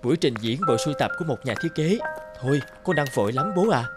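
A woman playing a busy, impatient young fashion designer in a cartoon, talking in a brisk, curt way. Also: deep voice, expressive, loud music behind the voice. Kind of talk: cartoon dialogue acting.

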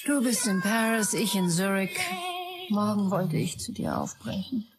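A woman's voice: mid-range voice, big pitch swings, a little slow. An actress playing a controlling, lovesick female tech-company executive in an audio drama, as if reading out her e-mails. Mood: longing, weary. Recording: music behind the voice. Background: music.

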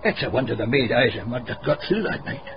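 A muffled radio drama recording with some hiss. A man plays an old Scottish cobbler with a gravelly creak, grave and pedantic, who relishes village gossip in thick dialect. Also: medium pitch, lively intonation, fast, lightly acted. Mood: dramatic storytelling. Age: older. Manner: wordy, dialect-rich, pedantic storytelling. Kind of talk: radio drama dialogue, storytelling.

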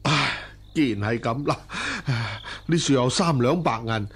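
A man playing an anxious, deferential rich gentleman in a radio drama, sighing and exclaiming as he talks. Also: medium pitch, measured pace, husky, heavily acted. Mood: resigned, respectful. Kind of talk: Cantonese radio drama acting.

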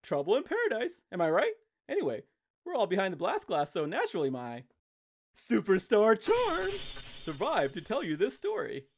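A woman's voice: medium pitch, huge pitch swings, moderate pace, darkish tone. A woman playing a glib, self-admiring showboat sidekick in an anime-style game, chatty and flippant. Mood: smug, chatty.

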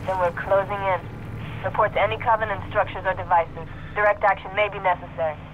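A woman playing a brisk, decisive military officer over a radio in a video game. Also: medium pitch, steady tone. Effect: radio transmission. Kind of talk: video game cutscene acting. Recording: dull radio link, faint noise.

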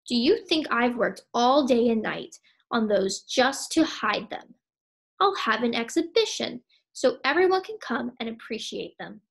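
Woman as a cranky, creaky hare artist. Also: fairly high pitch, lively intonation, measured pace, full character voice. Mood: indignant. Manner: huffy, emphatic, self-important.

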